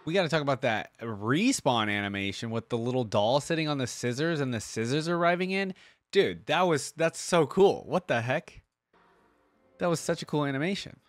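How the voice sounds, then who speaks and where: A man's voice: mid-range voice, expressive, moderate pace, no room sound. A young man with an ordinary mid-range voice. He chats casually as a reaction host, rambling through his thoughts in slangy, enthusiastic fan commentary.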